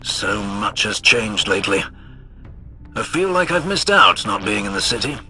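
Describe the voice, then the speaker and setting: A man's voice: on the low side, very animated, normal speed, very noisy. A man playing a plain-spoken, hopeful soldierly commander in a video game, earnest and conversational. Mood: wistful. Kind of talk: scripted video game acting.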